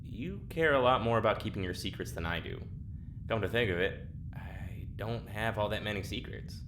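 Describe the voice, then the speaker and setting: An actor playing a quiet, resigned, technically minded prisoner in an audio drama, who speaks hesitantly and rambles into detail. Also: low-pitched, normal speed, noisy background, natural voice. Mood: guarded, resigned.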